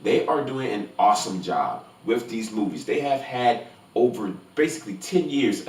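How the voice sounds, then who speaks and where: A man giving a casual, enthusiastic film review to camera, gushing and emphatic, often repeating words for emphasis. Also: lowish voice. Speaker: movie review vlogger. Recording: roomy echo, distant from microphone.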